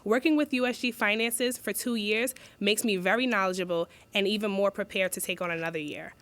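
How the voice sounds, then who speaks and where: A woman's voice: mid-range voice, fairly quick, fairly bright tone, no room sound. A young woman student giving an earnest, read campaign speech as a candidate, stopping to redo a line when she slips. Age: young adult.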